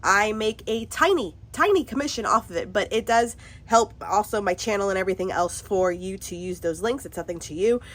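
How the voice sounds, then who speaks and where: A cheerful woman at her home craft table, filmed by an overhead camera, chatting through a haul. She sounds stuffed up from a cold, and her voice is bubbly and chatty, with frequent laughter. Her pitch sits in a middle range. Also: animated, fairly quick, few pauses, fairly bright tone. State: congested from a cold. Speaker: craft vlogger. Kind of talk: casual haul video chat.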